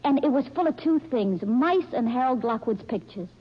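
A woman's voice: fairly high pitch, animated, normal speed, slightly rough. An actress playing a brash, chatty young working-class woman in a 1940s radio drama, slangy and wisecracking. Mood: breezy. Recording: dull old radio recording.

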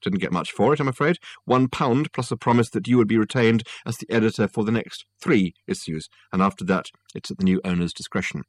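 Male reader as imperious society mother in a novel: brisk, sugary, condescending, unyielding, recorded close and dry. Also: lowish voice, no echo, lightly acted. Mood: reasonable, unyielding. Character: brisk, sweetly ruthless upper-class mother, magazine owner.

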